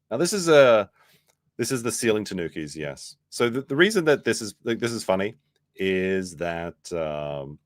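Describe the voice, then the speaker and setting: A man with a slightly hoarse voice hosting a livestream, reading posts aloud and reacting casually in a rambling, wry way. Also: on the low side, no echo, clean studio sound. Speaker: livestream host.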